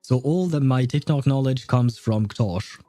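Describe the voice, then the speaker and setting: A man's voice: medium pitch, measured pace, warm tone, no room sound. A man chatting casually on a game livestream, hesitant, with chuckling asides to his viewers. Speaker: livestream gamer reading chat and game dialogue aloud. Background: faint game music.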